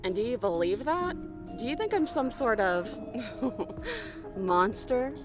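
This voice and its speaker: A woman voicing an imprisoned young princess in a horror game, haughty and eerie, with a measured, taunting manner. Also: fairly high pitch, big pitch swings, over music.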